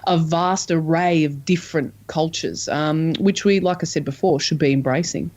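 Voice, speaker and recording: A woman's voice: low-pitched, normal speed. An Australian woman, a podcast guest whose first language is Croatian, talking earnestly in a casual interview chat. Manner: earnest, chatty, animated when making a point. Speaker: podcast guest, football presenter.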